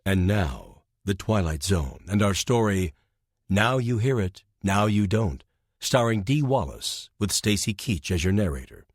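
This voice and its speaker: A man with a husky voice announcing a radio drama in a dramatic, solemn style. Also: fairly low pitch, sing-song, a little slow, no room sound, clean studio sound, exaggerated voice.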